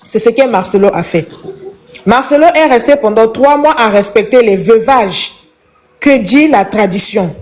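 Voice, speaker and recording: A woman's voice: fairly high pitch, expressive, normal speed. Emphatic woman. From Congo. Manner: emphatic, preachy, repeats points. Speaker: caller in a live chat. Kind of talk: impassioned opinion monologue.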